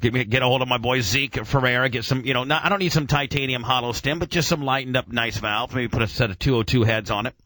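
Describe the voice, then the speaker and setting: A man's voice: medium pitch, fairly even intonation, fast, few pauses, bright, forceful tone, no echo. A man hosting a casual motoring radio chat, rambling enthusiastically in technical gearhead jargon with mild swearing. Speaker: radio show host.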